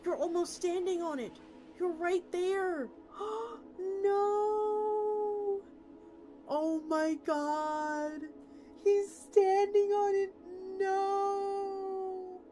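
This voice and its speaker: A woman VTuber chatting and reacting on a livestream in an excitable way, prone to blurting exclamations and swearing. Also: very high voice, drawn-out words. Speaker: VTuber streamer. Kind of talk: livestream reaction chat and reading aloud. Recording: loud music behind the voice. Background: music.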